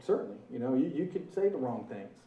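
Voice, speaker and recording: Energetic man coaching a roomful of people. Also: medium pitch, fast, roomy sound, far from the mic, dull audio. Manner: energetic, conversational, asks the audience questions. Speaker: sales trainer. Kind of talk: live training talk to a room. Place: meeting room with an audience.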